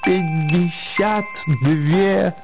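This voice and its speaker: A man's voice: high-pitched, fairly even intonation, drawn-out words, gravelly. A man narrating a dramatised literary story as part of an audio play. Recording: loud music under the voice.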